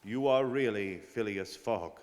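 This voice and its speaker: A man's voice: fairly low pitch, measured pace, darkish tone, natural voice. A man playing a stern, dogged detective who narrates a radio drama in an emphatic, clipped style. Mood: grave, official. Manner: emphatic, telegraphic. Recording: hall reverb, faint noise, community studio.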